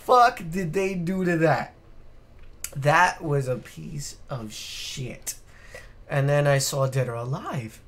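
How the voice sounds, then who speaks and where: A man's voice: a little high, expressive, slow, a bit bright. A man co-hosting a casual video game podcast on a live stream, ranting bluntly with plenty of swearing. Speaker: podcast co-host.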